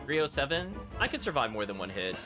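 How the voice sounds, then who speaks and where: Animated man commentating on a game stream. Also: mid-range voice, fast. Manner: thinks aloud, reacting to game events. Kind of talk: live gameplay commentary, chatting to viewers. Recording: music playing behind the voice. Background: video game music.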